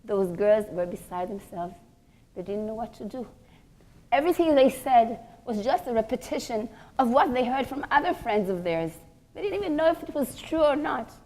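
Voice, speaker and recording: A woman with a fairly high voice teaches a religious class. She tells stories in a deliberate lecturing manner, repeating phrases for emphasis. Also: lively intonation, mellow, poor audio. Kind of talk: sermon-like lecture told through stories.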